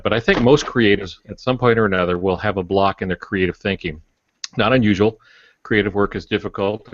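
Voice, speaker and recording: A man's voice: sits low, no room sound. An older man co-hosting a podcast, giving casual advice in a wry, folksy way. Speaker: podcast co-host. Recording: dull, dry lo-fi audio.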